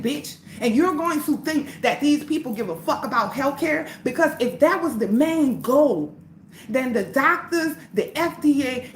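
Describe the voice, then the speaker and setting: Woman, livestream host, heated profane rant, high voice, echoey room. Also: a little hiss or hum.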